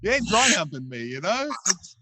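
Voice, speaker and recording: A man's voice: sits high, sing-song, normal speed, a crowd in the background. Crude, joking podcast host man. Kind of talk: casual podcast chat.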